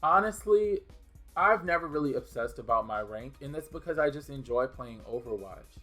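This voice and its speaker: A man's voice: medium pitch, expressive, moderate pace, bright, forceful tone, faint music behind. A young man from New Orleans with a mild New Orleans accent, chatting casually and bluntly while answering viewer questions, with restarts and fillers. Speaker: gaming video creator. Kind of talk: casual Q&A chat.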